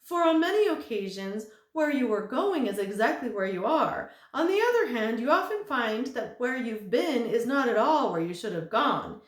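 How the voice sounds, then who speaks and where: Fussy storybook man, high and loud, forgetful, woman doing it; wide swooping pitch. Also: sing-song, normal speed, few pauses, reverberant. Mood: musing. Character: fussy forgetful ordinary man with four jobs.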